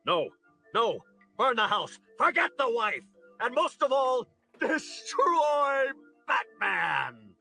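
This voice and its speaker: An actor playing a deranged split-personality villain in an over-the-top superhero audio drama, with a gravelly, strained voice. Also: male voice, very high voice, big pitch swings, takes it slowly, pushed and bright, faint music behind, exaggerated voice. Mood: savage, ranting. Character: split-personality male villain, meek then savagely deranged.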